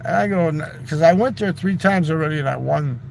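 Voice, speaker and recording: A man's voice: on the high side, fairly quick, a little hiss or hum. A man telling a long casual story, loose and chatty, swearing freely and tossing in "right?" and "man". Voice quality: gravelly. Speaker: livestreamer.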